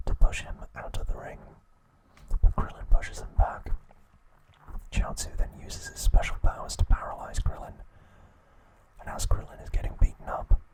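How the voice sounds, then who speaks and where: Man, soft unvoiced whisper, ASMR host, scripted narration, measured soothing bedtime delivery. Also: poor audio.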